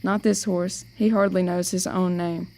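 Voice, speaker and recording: A protective, teasing older sister , acted by a young woman in an amateur radio drama. Her voice is soft-edged and mellow, with a noticeable creak. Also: fairly low pitch, little pitch movement, normal speed, close to an everyday voice. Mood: coaxing. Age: teenager or young adult. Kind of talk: amateur radio play acting.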